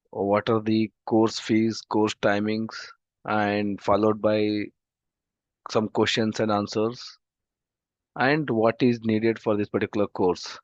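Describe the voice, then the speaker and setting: A man with an Indian English accent teaching an online database class, talking slowly and haltingly with lots of fillers because of a throat infection, over a video call. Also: fairly low pitch, darkish tone, no room sound. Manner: slow, halting, many "uh" fillers. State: throat infection, voice not clear. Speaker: database course instructor. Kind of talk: online demo class lecture. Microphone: computer mic on a video call. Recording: dull, dry video-call audio.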